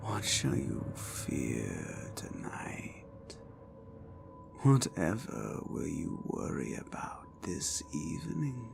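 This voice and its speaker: Slow, creaky, sadistic incubus demon. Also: sits low, exaggerated voice. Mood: sinister, coaxing. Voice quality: breathy whispering, creaky. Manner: slow, drawn-out, theatrical sinister delivery. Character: sadistic male incubus, nightmare incarnate, menacing and mocking. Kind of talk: ASMR roleplay acting.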